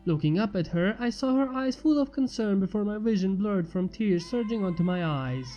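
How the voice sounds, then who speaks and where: Man, high mellow voice, scripted story narration, muffled echoey recording, music behind. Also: animated, moderate pace. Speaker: fan fiction narrator. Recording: muffled, echoey long reverb under music.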